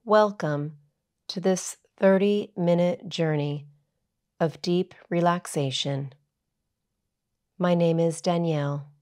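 Slow, low-voiced woman guiding meditation. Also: steady tone, darkish tone. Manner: soothing, unhurried, measured instruction. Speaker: yoga teacher. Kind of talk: guided meditation narration.

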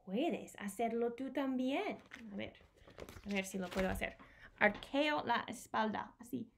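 Woman in her thirties, children's storytime reader, higher pitch, very unhurried pace, animated, simple repetitive phrasing, home room recording. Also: slight background noise. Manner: animated, simple repeated phrases, switches between Spanish and English. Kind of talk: singing and reading aloud to young children. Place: small home room with bookshelves.

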